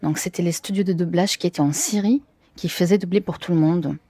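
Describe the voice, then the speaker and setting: A woman's voice: lowish voice, fairly quick, mellow, slightly rough, no room sound. A woman of twenty-nine from Syria with a Syrian Arabic accent, answering interview questions casually in a non-native language and often searching for words. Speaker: interviewee, theatre performer and film worker.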